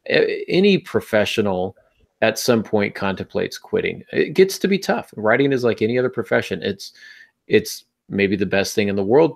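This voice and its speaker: Guy podcast host, lowish creaky voice, chatty, kinda wry. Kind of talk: casual podcast talk about writing.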